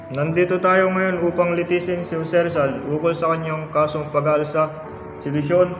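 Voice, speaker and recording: A man's voice: fairly high pitch, little pitch movement, normal speed, close to an everyday voice. A man playing a stern, formal colonial court judge in an amateur radio drama. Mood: formal, accusing. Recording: muffled, echoey hall, music behind.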